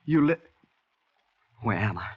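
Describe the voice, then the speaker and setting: A man acting a proud Southern gentleman planter in an old-time radio drama, formal and earnest in manner. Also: lowish voice, very animated, measured pace. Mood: dazed, uneasy. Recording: muffled vintage radio recording.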